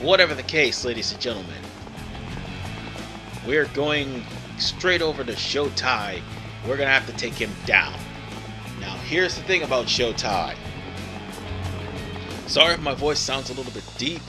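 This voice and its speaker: A man's voice: medium pitch, lively intonation, measured pace, pauses often. A tired man giving casual, rambling let's play commentary, often stopping to correct himself. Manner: rambling, digressive, self-correcting. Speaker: gaming video host. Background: video game music.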